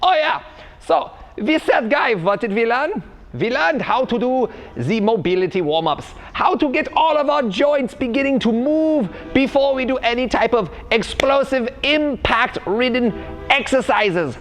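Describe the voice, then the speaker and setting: A man's voice: very high-pitched, animated, unhurried, pitched above the performer's own voice. A man playing an overexcited parkour coach with a mock German accent, stretching words in a sing-song way and tagging lines with "yeah?". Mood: bouncy, instructive. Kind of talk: comedic tutorial.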